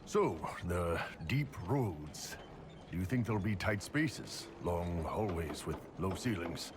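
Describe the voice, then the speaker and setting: A man playing a huge horned warrior with deadpan humor in a video game. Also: slow. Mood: dry, uneasy. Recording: noisy, rumbling machinery ambience.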